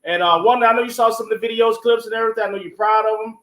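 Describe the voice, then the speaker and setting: High-voiced man giving informal, devout testimony. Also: fairly even intonation, very fast, fairly bright tone, low-quality recording. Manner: informal, emphatic, devout, punctuated with 'you know what I'm saying'. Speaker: stage play producer and guest. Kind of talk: testimonial talk on an online show.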